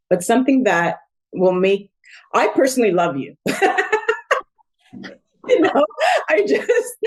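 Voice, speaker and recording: A woman's voice: deep voice, a little mellow. A woman hosting a casual conversational interview, talking in a rambling, effusive way. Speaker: podcast host, interviewer.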